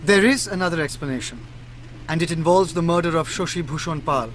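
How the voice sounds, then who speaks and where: An actor with an Indian accent playing a shrewd, self-assured detective in a radio drama, speaking in a measured, deliberate way. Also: male voice, a little high, a little hiss or hum, lightly acted. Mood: probing, accusing.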